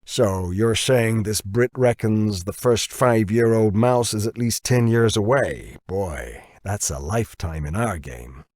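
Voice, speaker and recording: A man's voice: fairly low pitch, a little slow, few pauses, darkish tone, no room sound. Blunt, greedy American company boss, played by a male narrator, confrontational and slangy, audiobook dialogue, dry recording. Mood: dismissive.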